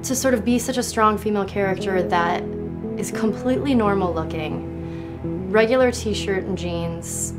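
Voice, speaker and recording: A woman with an ordinary mid-range voice gives a casual interview as a game actress. Loud music plays behind her as she talks. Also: medium pitch, normal speed. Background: loud background music.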